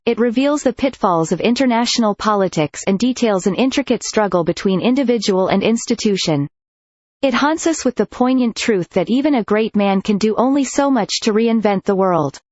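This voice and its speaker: A woman reads a prepared passage aloud in a medium-pitched voice. The recording is very dry, with no room around it, and sounds somewhat dull and lo-fi. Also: expressive, normal speed, a little mellow, no room sound. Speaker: reader of exam practice passages. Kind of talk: reading a practice text aloud. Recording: dull lo-fi audio.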